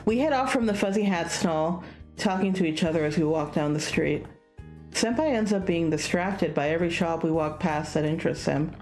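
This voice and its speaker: A woman's voice: fairly low pitch, normal speed. A woman streaming a visual novel, reading the narration expressively and slipping into casual asides. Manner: animated, acts out dialogue. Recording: music behind the voice.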